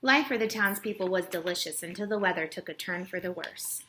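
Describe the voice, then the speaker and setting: A woman's voice: lowish voice, a little fast, pushed and bright. A woman reading a children's storybook aloud to a young child. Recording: noisy home recording with clicks.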